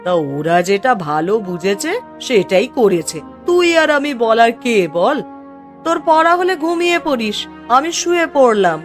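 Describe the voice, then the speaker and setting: A woman voicing a middle-aged village housewife in a cartoon story, expressive and exclaiming. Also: a little high, normal speed, soft background music. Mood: resigned. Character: emotional village mother and neighbour wife, middle-aged. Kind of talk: Bengali moral-story cartoon voice acting.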